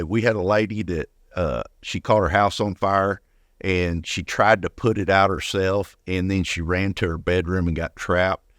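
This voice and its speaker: A man's voice: low-pitched, fairly bright tone, no echo, clean studio sound. An older man speaking as an interview guest, telling personal stories casually and often repeating words as he goes. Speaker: guest, retired firefighter.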